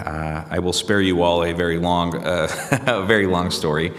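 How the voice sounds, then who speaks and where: Deep-voiced man recounting memories, reflective. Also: fairly even intonation, normal speed, big-room sound. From United States. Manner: reflective, hesitant with many fillers and restarts. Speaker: journalist guest. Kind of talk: conversational interview answers.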